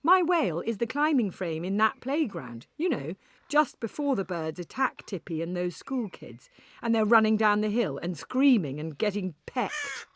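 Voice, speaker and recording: An actress playing a weary, wry woman cafe owner, telling her story in an audiobook with an expressive, breathy, conversational delivery. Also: medium pitch, moderate pace, no echo. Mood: exasperated. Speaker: audiobook narrator. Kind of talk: first-person dramatic audiobook narration.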